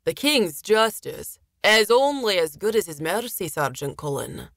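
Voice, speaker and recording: Storybook military officer, mature man, played by a woman; lilting accent, measured command, amused and lenient, close dry sound. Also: medium pitch, very animated, vocal fry, fairly bright tone, dry recording, heavily acted. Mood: calm authority.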